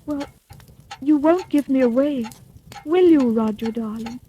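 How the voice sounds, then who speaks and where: A scheming widow. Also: female voice, high voice, a little slow, warm tone, muffled recording. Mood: coaxing. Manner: coaxing, then scornful. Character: scheming young widow, poisoner, cold. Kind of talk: 1940s radio acting. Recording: faint hiss, old radio broadcast.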